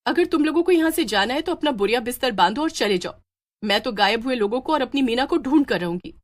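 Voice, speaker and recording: A woman's voice: on the high side, lively intonation, fast talker, pitched above the performer's own voice, natural voice. A woman voicing a bold, protective village mother in a story, speaking earnestly and insistently. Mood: defiant.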